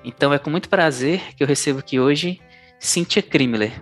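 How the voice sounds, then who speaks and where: A man's voice: on the high side, fairly quick. Male podcast host with music behind. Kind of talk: podcast interview hosting.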